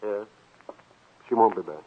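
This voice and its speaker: A man's voice: on the low side, unhurried, natural voice. A man playing a weak, anxious brother in a 1940s radio drama, hesitant, stammering and pleading. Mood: evasive, irritable. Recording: narrow-band, faintly noisy old radio recording.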